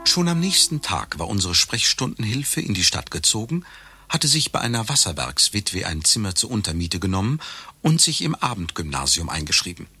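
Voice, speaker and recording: An actor narrating a satirical radio play as a matter-of-fact storyteller with a husky voice. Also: male voice, on the low side, big pitch swings, moderate pace, bright, forceful tone, no echo, exaggerated voice.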